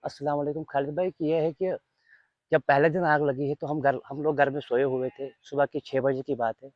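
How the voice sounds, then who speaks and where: A man's voice: on the high side, steady tone, brisk, dry recording, highs cut off. A young man with a Kashmiri accent giving unscripted interview answers, earnest and emphatic, often repeating his phrases. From Kashmir, India. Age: young adult. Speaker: volunteer being interviewed.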